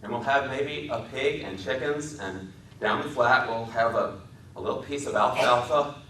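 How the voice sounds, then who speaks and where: A man playing a weary, gruff, protective working man with terse, rough folksy speech in a dramatic reading. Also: medium pitch, normal speed, fairly bright tone, pitched above the performer's own voice, natural voice. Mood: shaky, tender. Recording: roomy echoing hall, distant mic, faint noise.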